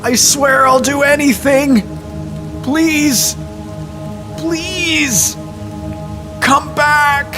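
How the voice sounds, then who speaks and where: A man's voice: extremely high pitch, steady tone, drawn-out words, pauses often, over music, voice pushed up, full character voice. A man voicing a terrified, injured boy scout in a horror story, pleading in desperate wails. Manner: desperate, wailing pleas.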